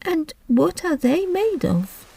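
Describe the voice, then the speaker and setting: Lady reading as a curious little girl, high soft voice, polite and a bit timid, mic kinda far. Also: big pitch swings, takes it slowly, gravelly, no room sound, exaggerated voice.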